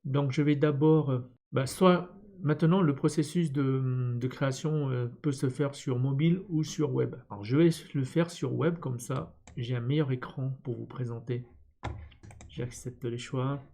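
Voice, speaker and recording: A man with a soft, mellow, relaxed tone talks through an on-screen tutorial step by step. His delivery is unscripted and hesitant, as he thinks aloud while working. His pitch sits in an ordinary middle range for a man. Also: measured pace, creaky. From born in Vietnam. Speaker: tutorial presenter, instructor. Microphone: computer screen-recording voice-over.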